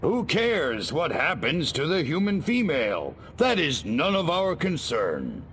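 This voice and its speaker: A man's voice: a little high, huge pitch swings, a little slow, fairly bright tone, a little hiss or hum, exaggerated voice. A man voicing a cocky, sardonic alien invader in a video game, with a mocking drawl. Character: cocky sarcastic little alien invader, male.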